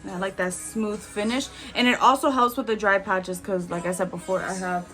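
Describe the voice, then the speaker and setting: A woman in her twenties chatting candidly as a beauty vlogger while doing her makeup, with frequent "like"s and soft laughs. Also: medium pitch, steady tone, fairly quick, soft background music. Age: 20s.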